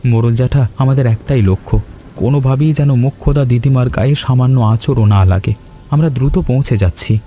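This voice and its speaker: A man's voice: mid-range voice, fairly quick, warm tone, faint music behind, close to an everyday voice. A man playing a brave, dutiful young village son in a cartoon story, speaking earnestly and emphatically. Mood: urgent, determined. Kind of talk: animated story dialogue.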